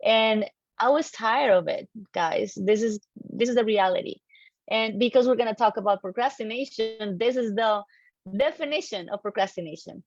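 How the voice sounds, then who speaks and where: A woman with a Colombian accent, a native Spanish speaker, giving an animated, emphatic motivational presentation to an online audience and repeating key phrases. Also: fairly high pitch, normal speed, a little hiss or hum. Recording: dull, lo-fi online audio, slightly roomy.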